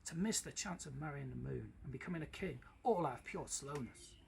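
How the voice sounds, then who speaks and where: A man voicing a vain, lovesick storybook hare who calls out excitedly and yearningly. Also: medium pitch, fairly quick, creaky, background noise. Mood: self-reproaching. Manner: exclamatory, calling out.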